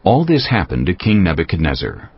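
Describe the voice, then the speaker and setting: A man's voice: sits low, wildly swooping pitch, a little fast, breathy edge. A man narrating a dramatized scripture reading as a scripted storyteller. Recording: muffled, with faint music.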